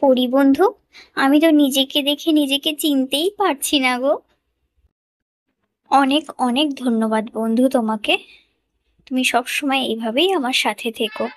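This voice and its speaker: A woman's voice: sits high, fairly even intonation, moderate pace, pauses often, no room sound, clean studio sound, lightly acted. A woman voicing a kind, humble young village girl in a fairy-tale cartoon, speaking earnestly. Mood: pleading, grateful.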